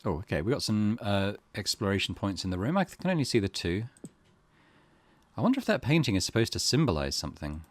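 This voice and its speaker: A man's voice: lowish voice, sing-song, brisk, no echo. A man giving casual let's play commentary as a gaming host, musing tentatively in a conversational way.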